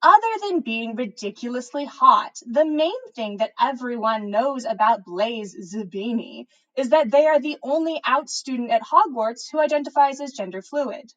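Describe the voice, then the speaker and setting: A woman's voice: fairly high pitch, expressive, moderate pace, few pauses, fairly bright tone, muffled recording. A woman voicing a teenage witch schoolgirl, a bossy, scheming gossip editor with a teasing, knowing manner, in a story reading. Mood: matter-of-fact. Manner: teasing, matter-of-fact, knowing.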